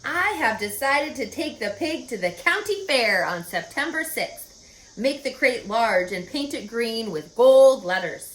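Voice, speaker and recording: A woman voicing a proud, excited male farmer in a storybook, boastful and emphatic as he gives orders. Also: a little high, a little slow, echoey room, poor audio. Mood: proud, commanding.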